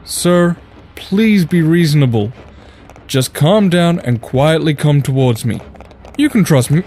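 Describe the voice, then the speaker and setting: A man's voice: a little high, lively intonation, a little slow, dark tone, a little hiss or hum. A man playing an anxious, earnest pony guard who pleads for reason in a cartoon fan drama. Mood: placating. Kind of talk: fan audio drama acting.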